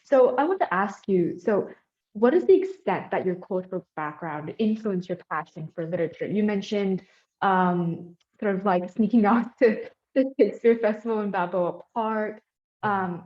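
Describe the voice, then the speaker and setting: A woman's voice: medium pitch, a little mellow. Young woman interviewer, filler-heavy questions. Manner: friendly, filler-heavy, with many ums and likes. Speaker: student interviewer. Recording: slightly dull lo-fi audio.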